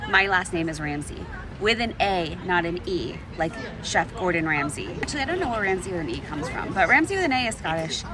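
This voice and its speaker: A woman from Wales, chatting casually to camera as a travel vlogger and giving candid reviews. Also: medium pitch. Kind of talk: casual vlog talk to camera. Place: restaurant, then outdoors in the street. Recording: crowd noise behind.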